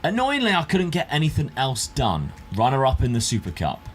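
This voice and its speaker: A man's voice: medium pitch, huge pitch swings, brisk, soft background music. A man hosting a football management game video in an informal, conversational way. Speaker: gaming video host. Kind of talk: scripted narration and casual chat over a football management game.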